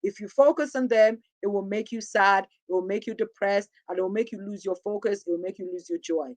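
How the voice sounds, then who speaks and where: Husky-voiced woman giving upbeat encouragement. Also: medium pitch, steady tone, brisk, a little mellow. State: hoarse from a sore throat. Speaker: host. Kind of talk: motivational talk show sign-off.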